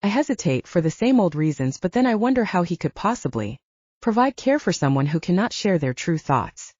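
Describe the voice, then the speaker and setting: A woman's voice: lowish voice, big pitch swings, a little fast, darkish tone, no room sound, lo-fi sound. A woman reading a first-person novel aloud as an audiobook narrator in a scripted storytelling style.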